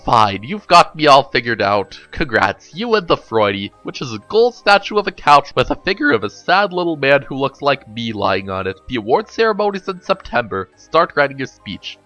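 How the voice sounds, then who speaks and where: A man's voice: medium pitch, sing-song, moderate pace, few pauses, sharp tone, faint music behind. A man voicing a brooding, defensive and sarcastic young man in a dramatic storybook reading.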